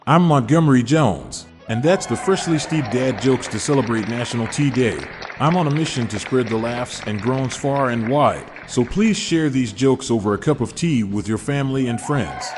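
A man's voice: mid-range voice, lively intonation, moderate pace, hardly any pauses, big-room sound, over music, crowd noise. A man hosting a podcast, reading dad jokes in an upbeat way and chuckling at his own punchlines. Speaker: podcast host.

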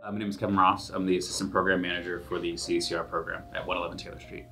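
A man, a program manager, explaining the program in a brisk, explanatory way. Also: low voice, flat delivery, sharp tone, soft background music. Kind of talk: documentary interview.

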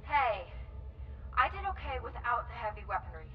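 A woman's voice: fairly high pitch, big pitch swings, normal speed, far from the mic, dull audio, slight background noise. An actress playing a feisty, brash, sarcastic young spaceship crewwoman in a video game. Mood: teasing. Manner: sarcastic, forceful.

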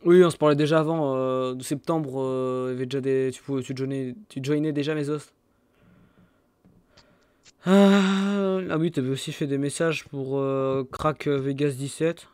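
A man's voice: medium pitch, steady tone, moderate pace, darkish tone, clean tone, no echo. A young man streaming a game, chatting casually with friends and laughing often. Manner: chatty, laughs often, scrolls through old messages. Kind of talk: casual voice chat while gaming.

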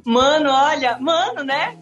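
A woman's voice: high voice, expressive, measured pace, fairly bright tone, muffled recording. A woman in her thirties with a Manaus Brazilian accent, talking casually in an interview over a video call. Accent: Manaus Brazilian Portuguese. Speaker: interviewee, singer. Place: indoor room on a video call. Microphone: phone or computer on a video call. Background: music.

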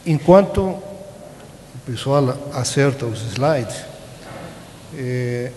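A man's voice: mid-range voice, expressive, a little mellow. Older Chilean-accented man lecturing in echoey hall. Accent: Chilean Spanish. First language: Spanish. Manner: halting, checks in with tag questions. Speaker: conference speaker. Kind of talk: informal technical lecture. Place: large conference auditorium. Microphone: podium gooseneck microphone. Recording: echoey auditorium with clear background noise.

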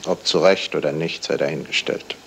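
A composed male police detective in a seventies radio mystery. His voice is very low and gravelly. He questions people formally, with measured and pointed phrasing. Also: fairly even intonation, noisy background. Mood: matter-of-fact, pointed. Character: probing, composed male Scotland Yard detective. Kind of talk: 1970s German radio crime drama acting.

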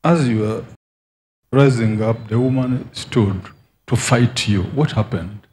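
A man's voice: on the low side, slow, darkish tone. Commanding preacher man, faint background hum. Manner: commanding, declarative pronouncements. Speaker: prophet. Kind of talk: prophetic deliverance session.

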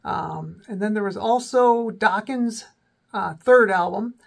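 A man in his forties with a cold and a slightly sore, raspy throat, casually talking through rock albums as host of his own channel, with many ums and self-corrections. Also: sits high, takes it slowly. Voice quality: raspy, voice may crack. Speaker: rock music video host. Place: small indoor room with a backdrop.

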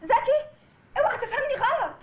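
A woman's voice: very high-pitched, big pitch swings, brisk. An actress with an Egyptian Arabic accent playing a flustered married woman who is caught out and pleads breathlessly in a comedy film. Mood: pleading. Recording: dull film audio with loud noise.

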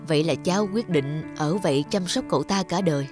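A man's voice: a little high, fairly quick, a little mellow, faint music behind, close to an everyday voice. A man playing a concerned, disapproving middle-aged doctor in a cartoon drama, with a grave, admonishing manner. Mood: sympathetic.

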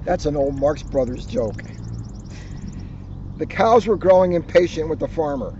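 A man's voice: on the high side, lively intonation, a little slow, warm tone. An older male police officer reading a children's story aloud outdoors, expressively and dramatically, with joking asides. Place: outdoors on an open grassy lawn. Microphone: camera a short distance away. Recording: muffled outdoor recording with background noise.

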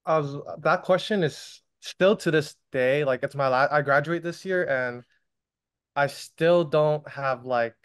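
A man's voice: a little high, slow, clean tone. A man in his twenties talking casually and informally as a panelist on a video call. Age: 20s. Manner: informal, frequent "like". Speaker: student business owner, panelist. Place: indoor room, on a video call. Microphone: video call microphone.

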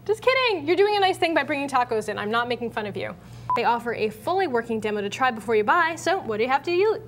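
A woman's voice: high-pitched, sing-song, quick pace, faint music behind. A young American woman co-hosting a tech show in a casual, playful, informal way. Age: young adult. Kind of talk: casual studio presenting and banter. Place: indoor TV studio at a desk. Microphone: lapel mic.

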